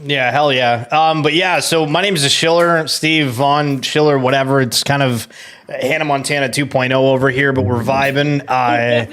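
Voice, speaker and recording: A man's voice: medium pitch, steady tone, moderate pace, a bit bright. A laid-back man chatting agreeably in a casual group conversation.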